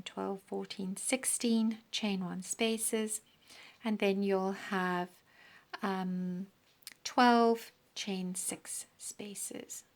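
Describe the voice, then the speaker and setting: A woman teaching a crochet pattern step by step, counting stitches aloud, her voice croaky and often dropping into a breathy half-whisper. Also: medium pitch, a little mellow. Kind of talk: step-by-step craft tutorial.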